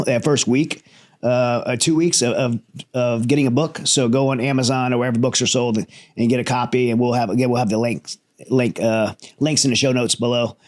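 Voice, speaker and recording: Man, podcast host, mid-pitched voice, energetic, chatty, home studio. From Louisiana. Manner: energetic, friendly, many fillers and restarts. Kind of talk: casual interview hosting.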